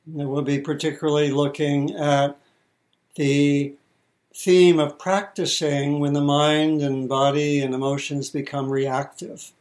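A man leads a guided meditation as a teacher. His voice sits a little higher than most men's. He speaks very slowly, in short measured phrases, leaving pauses between instructions. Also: level tone, a little mellow.

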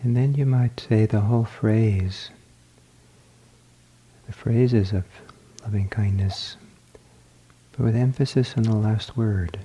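A man leading a guided loving-kindness meditation, speaking softly and trailing off into whispers. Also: on the low side, slight background noise, low-quality recording. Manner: slow, soft, trailing into whispers. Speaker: meditation teacher.

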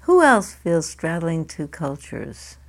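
An older woman teaching a group, asking many quick questions in a chatty way. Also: deep voice, sing-song. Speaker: meditation teacher leading a group. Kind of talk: informal group discussion.

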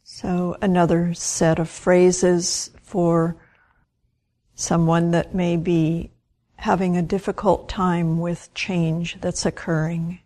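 A woman guides a meditation in a slightly low voice. Her delivery is very slow and gentle. She speaks in short phrases and leaves long, unhurried pauses between them. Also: little pitch movement. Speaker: meditation teacher.

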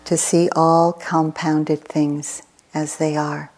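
A woman leads a guided meditation in a low, very even voice. She speaks very slowly and deliberately, leaving space between short, soothing phrases as she gives gentle instruction. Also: poor audio. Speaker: meditation teacher. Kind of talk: guided meditation, slow and deliberate with long pauses.

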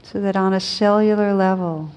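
A woman teaching a guided meditation in a gentle, soothing, unhurried manner with long pauses between phrases. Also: medium pitch, steady tone.

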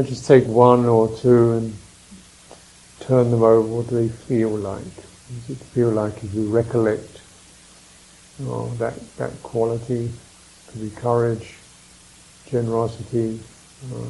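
Male meditation guide: very slow, level delivery, reflective and contemplative, leaves space between phrases. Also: mid-range voice, gravelly, dark tone, rough recording. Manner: contemplative, unhurried phrasing with reflective pauses and murmured mms. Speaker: meditation teacher.

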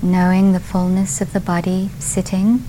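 A woman meditation teacher leading a guided meditation at a retreat, speaking calmly in short phrases with long pauses. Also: on the low side, rough recording. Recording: steady background hiss.

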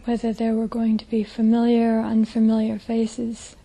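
A woman leading a guided meditation as a teacher, speaking gently in a soft, breathy, hushed voice with long pauses. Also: a little high, completely flat delivery.

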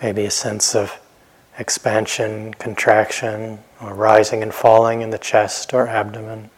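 A man with a low, husky voice leads a guided meditation. He speaks very slowly and gently, leaving long pauses between short phrases as a teacher would. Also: monotone, poor audio.

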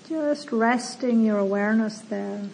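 A woman meditation teacher leading a guided meditation in a gentle, soothing manner, with long pauses between slow phrases. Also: a little high, rough recording. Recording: clear background noise.

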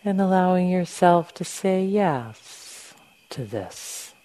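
A woman meditation teacher leading a guided meditation in a gentle, unhurried manner with long pauses. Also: on the low side, animated. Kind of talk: guided meditation, softly instructing.

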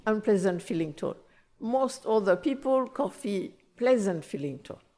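A woman with a French accent giving a gentle, explanatory talk on meditation as a teacher. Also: medium pitch. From France. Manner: gentle, explanatory, repeats phrases, slow in places. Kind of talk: dharma talk. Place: meditation center.

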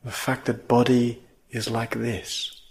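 A man teaching a guided meditation in measured phrases, with long pauses between them. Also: mid-range voice, monotone, vocal fry, darkish tone.

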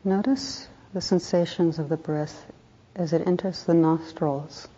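A woman with a low, mellow voice guides a meditation. She speaks softly and calmly in short instructive phrases with room between them, and the recording is slightly dull and muffled. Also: measured pace, slightly rough. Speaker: meditation teacher.

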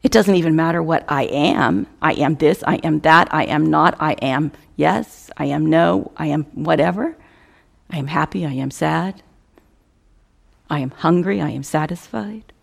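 A woman teaching a guided meditation in a measured, contemplative way, pausing between short phrases and softly trailing off at the ends. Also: low-pitched, gravelly.

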